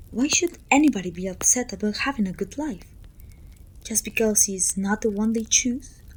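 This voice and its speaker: A woman playing a pompous, self-pitying evil queen who talks down to her servant in an intimate, breathy ASMR roleplay, often dropping toward a whisper. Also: mid-range voice, slight background noise, natural voice, rough recording. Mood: haughty, scolding. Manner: pompous, petulant, theatrical sighing complaints.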